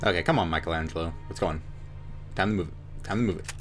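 Low-voiced man, casual game commentary. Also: quick pace. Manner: rambling, wry asides. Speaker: gamer narrating a playthrough. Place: indoor room at home. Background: game music.

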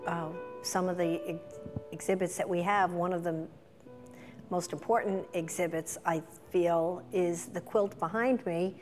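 A woman's voice: on the low side, in a large hall. A middle-aged woman speaking in a documentary interview as a museum chairperson, recorded indoors. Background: instrumental music.

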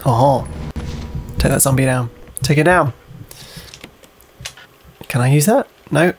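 A man hosts a let's play with casual, sweary commentary. He mutters along at a slow pace, with some game ambience and noise behind him. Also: medium pitch.

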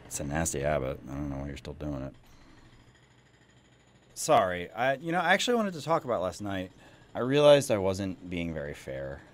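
An actor playing a needy, eager praying mantis boyfriend in an animated film, talking in a pleading, excitable, naturalistic way. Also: male voice, low-pitched, normal speed, slight background noise. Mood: apologetic.

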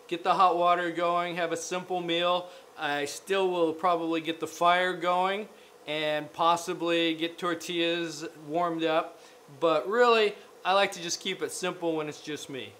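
A man in his forties hosting a casual how-to chat to the camera, relaxed and full of ums, talking with his hands. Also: fairly high pitch, slight background noise. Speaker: host. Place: indoor studio with gray backdrop and camping gear table.

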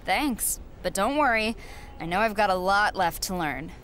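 A woman's voice: medium pitch, animated, normal speed, fairly bright tone, a little hiss or hum, natural voice. A woman voicing a confident young female rookie wrestler who talks coolly. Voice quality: confident, cool.

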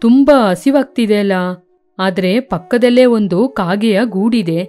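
A woman's voice: mid-range voice, measured pace, warm tone, pitched below the performer's own voice, lightly acted. Woman voicing a sly, greedy cartoon snake. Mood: hungry, sly. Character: greedy sneaky egg-eating snake. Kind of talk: children's cartoon story acting.

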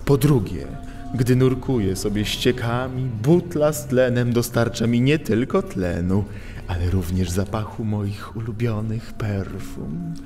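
An actor playing a suave, menacing cat thief in a children's audio drama, theatrical, smug and drawn-out, in an echoing tunnel. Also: male voice, medium pitch, dark tone, soft background music, natural voice. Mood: haughty. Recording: long echo of a sewer tunnel.

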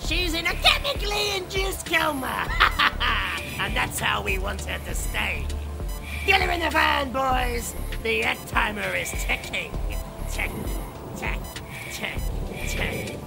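An actor playing a gleeful, theatrical male gang boss in a comic radio play, with a grandiose, gloating, drawn-out delivery. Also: extremely high pitch, sing-song, vocal fry, exaggerated voice. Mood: gleeful menace. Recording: loud music under the voice.

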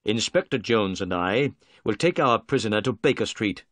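A man reading a storybook, voicing a calm, commanding male detective in a measured voice. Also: fairly low pitch, no echo, clean studio sound.

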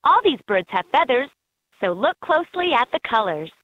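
A woman's voice: a little high, big pitch swings, normal speed, no room sound. A woman reading scripted narration as the guide in a children's learning game, recorded as lo-fi toy audio. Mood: instructive.

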